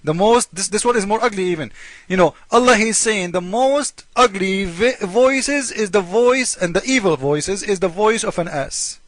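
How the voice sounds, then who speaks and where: A high-pitched man with non-native English delivers informal religious polemic in a dry, close sound. He is mocking and theatrical, repeating his points and playing both sides of an argument. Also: animated, moderate pace, fairly bright tone, dry recording. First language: not English. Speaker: host of a religious polemic video. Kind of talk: informal polemical commentary on scripture.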